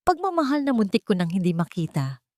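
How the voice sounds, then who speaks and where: A woman's voice: medium pitch, sing-song, a little fast, darkish tone, no echo, studio quality, voice pushed up, close to an everyday voice. Woman voicing an earnest, remorseful billionaire father. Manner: solemn, heartfelt, emotional. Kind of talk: dramatic audiobook dialogue.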